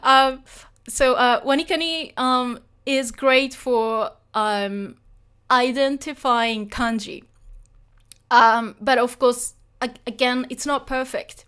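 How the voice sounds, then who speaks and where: A woman with a Japanese accent answers viewer questions on a live stream, speaking English as a second language. Her voice sits a little high and comes through smooth and clear. She hesitates often, restarts her sentences and apologizes as she goes. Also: fairly even intonation, takes it slowly, fairly bright tone. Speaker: Japanese teacher hosting a live Q&A.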